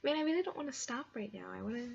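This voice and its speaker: A woman chatting casually and ramblingly to her viewers, with an animated, dramatic storytelling manner. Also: sits high, racing, gravelly, slight background noise. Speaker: vlogger and hobby story writer. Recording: dull lo-fi home recording.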